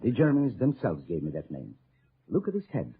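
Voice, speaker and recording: An actor playing a little, effusive French resistance fighter with a French accent in a 1950s radio drama. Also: male voice, on the low side, huge pitch swings, brisk, vocal fry, husky, full character voice. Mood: proud, friendly. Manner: effusive, warm. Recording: muffled old radio broadcast, narrow band.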